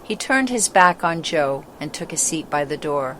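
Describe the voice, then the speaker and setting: A woman narrates a scripted storybook. The recording carries clearly audible background noise and ambience around her reading. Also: low voice, lively intonation, moderate pace, a bit bright.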